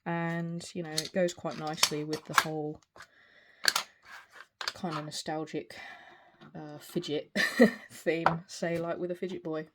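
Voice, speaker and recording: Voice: medium pitch, level tone, a little slow, long pauses. A person in their forties giving a casual, unscripted product review in a hesitant, rambling way. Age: 40s. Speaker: video reviewer. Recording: clear background noise, small clicks.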